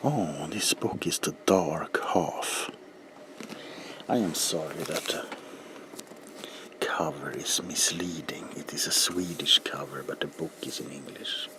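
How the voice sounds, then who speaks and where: A man whispering slowly and deliberately in an ASMR roleplay as a politely attentive bookseller, with a breathy, low voice. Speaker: ASMR performer playing a bookseller.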